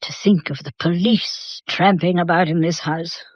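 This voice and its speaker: An actress playing a sharp, unflappable elderly English grandmother, brisk and probing, in a radio mystery drama. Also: fairly low pitch, steady tone, slightly rough, dry recording. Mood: imperious, probing. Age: older. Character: sharp, unflappable old grandmother of a well-off household. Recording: dull, muffled lo-fi audio.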